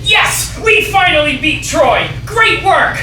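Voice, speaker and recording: An actor plays an excitable warrior who gets defensive easily. The stage reading is picked up from a distance in an echoey room, with clear background noise. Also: very high-pitched, slow, exaggerated voice. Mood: excited, alarmed. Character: Zeke, excitable warrior builder, defensive about his lunch. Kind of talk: staged dramatic reading of a children-written play. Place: small theatre stage with audience. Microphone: distant camera microphone.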